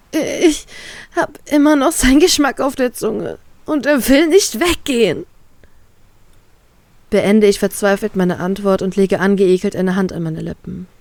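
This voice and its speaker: A woman reads her first-person romance story aloud in a calm, steady way. Her voice sits at a middle pitch, neither high nor low. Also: gravelly, no echo. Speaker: fan fiction reader.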